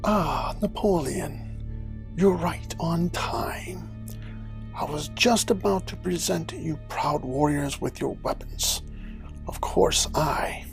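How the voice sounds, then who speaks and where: Cartoon devil, silky and mock-polite, unhurried theatrical drawl, breathy gravelly creak. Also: male voice, fairly high pitch, soft background music. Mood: smooth mock courtesy. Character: suave devilish gentleman villain, sly and falsely kindly.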